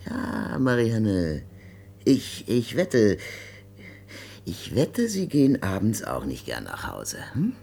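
An actor playing a creepy, flattering male stranger, insinuating and halting as he repeats himself, in radio drama style. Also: lowish voice, vocal fry, full character voice. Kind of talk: German radio crime drama acting.

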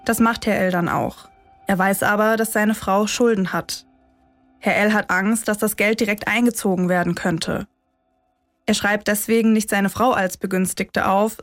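A woman reading scripted true-crime narration as a podcast host. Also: medium pitch.

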